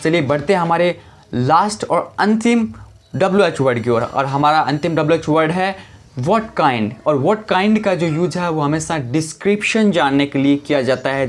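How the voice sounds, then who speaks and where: A man teaching a lesson, calmly explaining step by step and saying each example sentence slowly and deliberately. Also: fairly high pitch. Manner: calm step-by-step explaining, repeats "guys". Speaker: English teacher.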